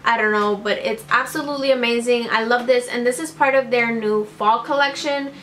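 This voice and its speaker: A woman's voice: on the high side, fairly even intonation, fairly quick, a bit bright. Gushing young woman beauty vlogger. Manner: gushing, upbeat, heavy use of "like" and "obsessed". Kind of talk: casual product review to camera. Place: small indoor room with string lights.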